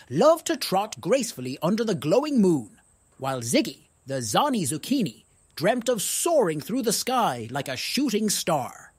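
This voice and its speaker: A storyteller narrating a children's tale in a playful, animated way with exaggerated emphasis. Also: mid-range voice, breathy edge, dry recording.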